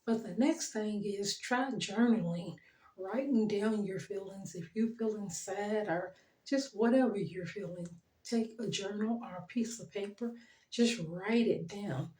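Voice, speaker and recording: A middle-aged woman talking earnestly to her viewers, her voice worn and fading, pausing often. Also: medium pitch, reverberant, low-quality recording. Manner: earnest, gentle, pauses with ums and soft laughs. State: losing her voice. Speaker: channel host. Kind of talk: heartfelt motivational talk to camera.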